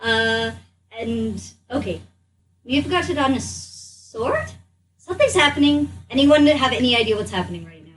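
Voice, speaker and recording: A woman's voice: high-pitched, animated, normal speed. A woman improvising as a player in a tabletop roleplaying game, stammering and repeating herself as if flustered. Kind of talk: improvised in-character game chat. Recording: distant mic, faint room noise.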